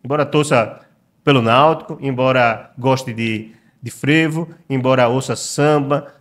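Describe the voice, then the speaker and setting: A middle-aged man from Recife with a Pernambuco Brazilian accent, speaking as a guest writer in a relaxed interview and often stopping to repeat words as he thinks. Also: mid-range voice, measured pace. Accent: Pernambuco Brazilian Portuguese. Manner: reflective, frequent hesitations and repeated words. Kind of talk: conversational interview.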